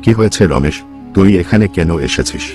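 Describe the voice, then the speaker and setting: A man's voice: sits low, lively intonation, brisk, over music, voice pushed down. A man voicing a stingy, scheming village headman in a cartoon story, with a curt, condescending manner. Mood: dismissive. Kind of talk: Bengali cartoon story dialogue.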